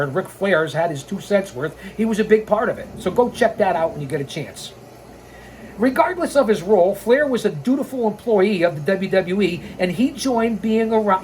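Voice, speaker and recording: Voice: medium pitch, darkish tone, slight background noise, low-quality recording. An opinionated show host who reads a scripted wrestling biography and breaks into blunt, sarcastic, informal asides with swearing.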